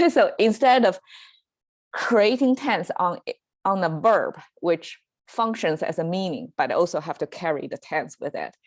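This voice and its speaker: A woman's voice: on the low side, animated, measured pace, no room sound. A woman with a Chinese accent hosting a casual language lesson, talking with many fillers and restarts. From Chinese-speaking. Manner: hesitant, lots of fillers and restarts, laughs easily. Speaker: language teacher and show host. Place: home in Southern California.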